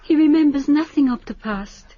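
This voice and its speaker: An actress playing a gentle, devoted young Japanese island woman in a radio drama, speaking earnestly. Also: high-pitched, moderate pace, close to an everyday voice. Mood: pleading. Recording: dull, faintly noisy radio recording.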